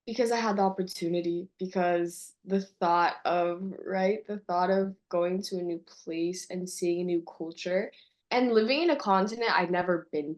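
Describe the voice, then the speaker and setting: A woman's voice: lowish voice, a little mellow. A young woman who grew up between California and Colombia, a university student abroad, talking reflectively as an interview guest and often saying "like". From California, USA, and Colombia. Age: young adult. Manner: reflective, says "like" often, slows to stress words. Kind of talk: casual interview chat.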